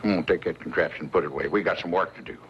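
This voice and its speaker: An actor playing a gruff, seasoned American wagon scout in an old time radio western, talking in plain, commanding frontier dialect. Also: male voice, low voice, rapid-fire, lightly acted. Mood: firm. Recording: dull, noisy old radio recording.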